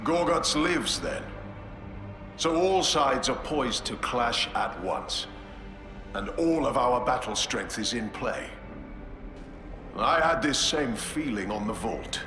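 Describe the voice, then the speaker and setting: An actor playing a solemn space marine chapter master who speaks in a grave, measured way. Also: male voice, medium pitch, animated. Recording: echoey game cutscene with music.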